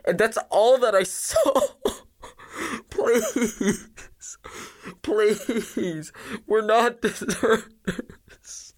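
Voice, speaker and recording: A man's voice: sits high, extremely slow, long pauses, voice pushed up. A man voicing a blinded, terrified soldier in a war story, stammering and pleading. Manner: stammering, sobbing delivery.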